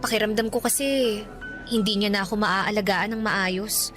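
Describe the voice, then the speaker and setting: A woman acting as a gentle, hesitant young engaged woman in a radio drama, speaking earnestly and conversationally. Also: mid-range voice, steady tone, normal speed, lightly acted. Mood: troubled, hesitant. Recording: music bed behind studio dialogue.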